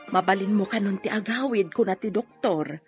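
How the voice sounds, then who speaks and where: A woman with an Ilocano accent acting a worried, protective middle-aged mother in an audio drama, with a husky voice. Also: medium pitch, normal speed, faint music behind, natural voice. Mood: tender, advising. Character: worried, protective mother of a wounded young policeman. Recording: dull, dry lo-fi drama recording.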